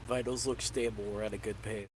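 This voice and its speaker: A man playing a gentle, reassuring medic in a sci-fi game roleplay, speaking soothingly to a patient. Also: on the low side, steady tone, fairly quick, a little hiss or hum, natural voice, low-quality recording. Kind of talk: improvised online roleplay acting.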